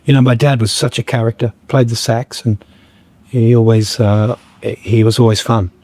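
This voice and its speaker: A man, a guest musician, talking reflectively in a podcast conversation, a bit croaky, halting with many fillers and restarts. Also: medium pitch, level tone, warm tone, no room sound. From Australia.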